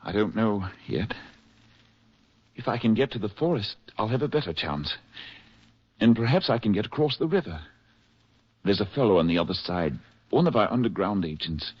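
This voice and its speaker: An actor playing an exhausted, lovelorn spy on the run in a 1940s radio drama, with a halting, earnest delivery and a husky voice. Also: male voice, fairly low pitch, sing-song, no room sound. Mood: hushed, urgent. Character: hunted English spy, exhausted, tender, in love. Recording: muffled, husky-sounding 1940s radio recording.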